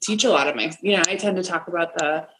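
A woman hosting a casual interview, speaking in a friendly, coaching way with quick replies. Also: on the low side, a bit bright, slight background noise, poor audio. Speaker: podcast host, business coach.

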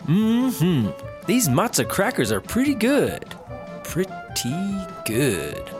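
An actor playing a droll, easygoing, slow-witted male sidekick in a comedy audio drama, guileless and deadpan. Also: mid-range voice, music in the background.

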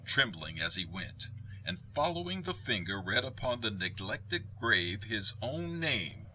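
A man narrating a scripted dramatic story in a measured, theatrical manner. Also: fairly low pitch, very animated, pushed and bright, slight background noise, rough recording.

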